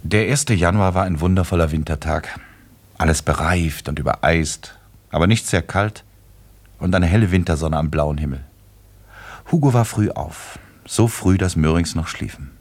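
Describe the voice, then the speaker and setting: Guy narrating a classic literary radio drama, deep low voice, scripted storytelling. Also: moderate pace.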